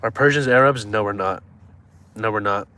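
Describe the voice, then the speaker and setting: A man's voice: lowish voice, moderate pace, bright, forceful tone. A man in his twenties chatting casually on a live stream, joking and teasing viewers as he reads their comments. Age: 20s.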